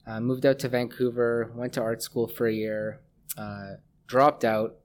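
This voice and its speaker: A middle-aged Canadian man speaking as a scientist guest in a conversational interview, pausing often as he thinks. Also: mid-range voice, little pitch movement, slight background noise. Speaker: interview guest, research scientist and lab head.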